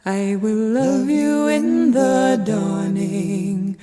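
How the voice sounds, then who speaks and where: A woman singing a slow, tender love song with gentle, sustained notes. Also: medium pitch, soft background music. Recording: song recording with long reverb.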